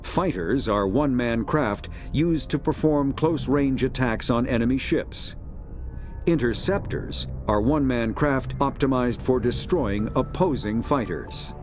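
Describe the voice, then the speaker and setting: A man narrating a science fiction game's encyclopedia in a measured, formal, authoritative documentary style. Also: medium pitch, lightly acted. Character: formal male narrator of a sci-fi codex. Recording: music behind the voice. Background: clear background music.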